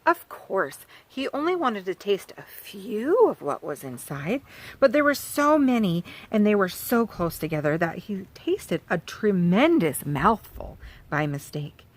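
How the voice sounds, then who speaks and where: A woman reads a children's storybook aloud with a lively, rising and falling voice. She talks gently to young listeners, pausing to point out the pictures as she goes. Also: medium pitch. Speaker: children's author reading aloud. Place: farm.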